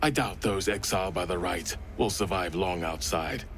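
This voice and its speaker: An actor playing an earnest, concerned male druid in a video game, speaking in a measured way. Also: deep voice, fairly bright tone, a little hiss or hum. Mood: grave concern. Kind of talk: video game cutscene acting.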